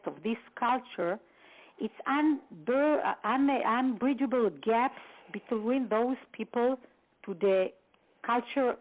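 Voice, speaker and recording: A woman from Israel giving a halting expert briefing full of fillers and restarts, heard over a phone line. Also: on the high side. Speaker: guest expert on a phone seminar. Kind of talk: lecture-style briefing.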